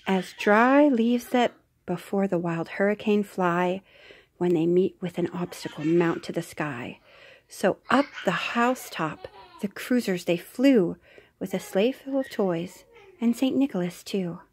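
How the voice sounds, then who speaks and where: A woman's voice: mid-range voice, unhurried, husky. Mother reading a storybook aloud. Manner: gentle, with soft whispered asides.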